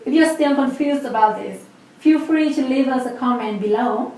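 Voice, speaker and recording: A woman's voice: sits high, normal speed, a little mellow, very echoey, far from the mic, rough recording. A woman in her twenties with a Vietnamese accent, patiently explaining a language lesson step by step. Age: 20s. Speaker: language teacher.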